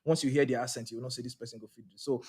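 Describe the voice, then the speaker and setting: Man, podcast co-host, animated, repeats words. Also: medium pitch, rapid-fire, husky. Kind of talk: casual chat, live podcast.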